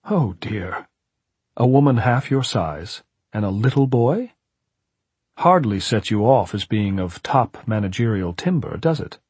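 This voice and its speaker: A man's voice: mid-range voice, expressive, moderate pace, a little mellow, husky, no room sound, voice pushed up. Man voicing a coldly polite, well-bred ghostly manservant. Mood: surprised, condescending. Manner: formal, polite, insinuating. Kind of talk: audiobook character voice.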